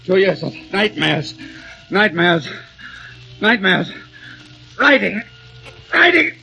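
A man's voice: high voice, very animated, slow pace, creaky, bright, forceful tone, exaggerated voice, poor audio. A man playing a delirious, dying poet haunted by grief, raving and repeating himself in an old radio drama. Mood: desolate, delirious. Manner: anguished, raving, repeating words obsessively. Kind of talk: 1940s radio drama acting. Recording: vintage radio recording with music.

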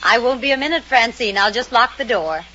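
A woman's voice: fairly high pitch, animated, fairly quick, sharp tone, soft background music. An actress playing a breezy, dismissive small-town spinster in her thirties in an old radio drama. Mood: breezy, friendly. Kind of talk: 1950s radio drama acting. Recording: dull old radio recording.

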